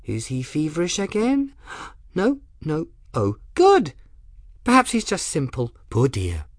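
A narrator voicing an odd, fey, flustered young apprentice girl in an audiobook, breathy and rambling to herself in the third person. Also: medium pitch, huge pitch swings, slow pace, dry recording, studio quality, voice pushed up, exaggerated voice. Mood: anxious, breathy. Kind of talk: audiobook character dialogue.

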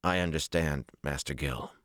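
Casting: male narrator as gruff, weary lord, low pitch, blunt audiobook dialogue, dry close sound. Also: moderate pace, a bit bright, no echo. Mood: calm.

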